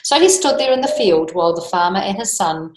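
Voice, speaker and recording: A woman storyteller reading a children's book aloud with expressive, lilting emphasis. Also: mid-range voice, brisk. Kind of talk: storybook read-aloud with chatty asides. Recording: echoey room.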